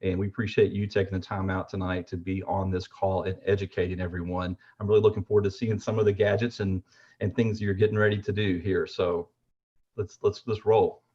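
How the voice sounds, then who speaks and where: A man's voice: deep voice, little pitch movement, brisk, a little mellow, dull audio. A man talking casually on a video call, chatty and full of fillers. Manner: chatty and praising, with many fillers.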